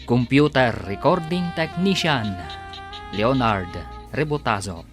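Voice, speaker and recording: A man announcing a radio drama in a theatrical, scripted style with deliberate phrasing. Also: mid-range voice, big pitch swings. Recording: music behind the voice.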